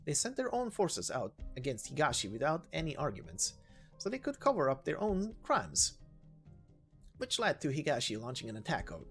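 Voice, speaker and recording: A woman's voice: low-pitched, big pitch swings, normal speed, faint music behind. A woman voicing a serious, troubled female former knight, a loyal aide who speaks earnestly in a game story. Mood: somber.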